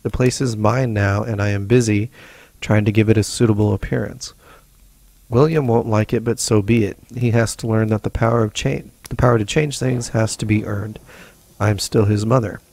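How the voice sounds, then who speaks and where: A man hosting a let's play, reading in-game notes slowly and evenly between short casual asides. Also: on the low side, fairly even intonation, darkish tone, no room sound. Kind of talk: casual gameplay commentary and reading notes aloud.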